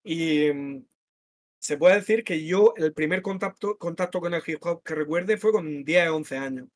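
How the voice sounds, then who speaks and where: A Murcian man in his late thirties speaks as a guest, relaxed and open, often calling the others brother. His voice is a touch higher than most men's. It sounds close and dry, with almost no room echo. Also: measured pace. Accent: Murcian Spanish. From Murcia, Spain. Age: 30s. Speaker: rapper and guest. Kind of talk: casual interview chat.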